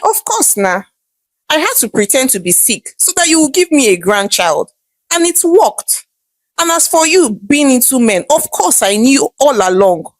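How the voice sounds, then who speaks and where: A sly Nigerian mother-in-law from a told story, acted out by a woman. She is high-pitched and excitable, throwing out emphatic, scornful exclamations with big swings in tone. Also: no echo.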